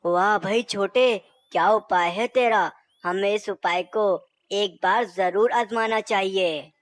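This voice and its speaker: A woman voicing a bossy elder male owl in a cartoon story, brisk and commanding. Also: mid-range voice, no room sound, natural voice.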